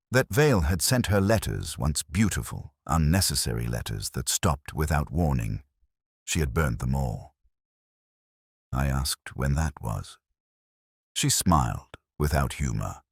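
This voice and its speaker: A man narrating a first-person detective story in a measured, literary, reflective way. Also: very deep voice, slightly rough, no room sound, studio quality.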